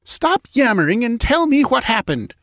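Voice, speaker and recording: A man as an imperious high-voiced evil queen. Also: expressive, moderate pace, no echo, clean studio sound. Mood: harsh, impatient. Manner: harsh, commanding, swift. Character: wicked false queen, imperious, furious, scheming woman. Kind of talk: dramatic audiobook character voice.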